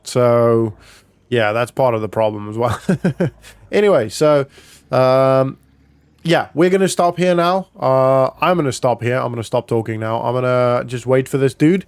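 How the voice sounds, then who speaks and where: Clear, dry-sounding man vlogging casually. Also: mid-range voice, measured pace, no echo. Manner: rambling, thinking aloud. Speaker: gaming vlogger. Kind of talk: casual roleplay vlog narration.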